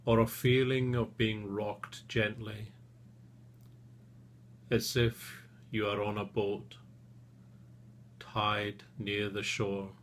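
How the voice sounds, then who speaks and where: A man leading a guided relaxation session as a therapist, speaking soothingly and gently in short phrases with long pauses. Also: lowish voice, flat delivery, slight background noise.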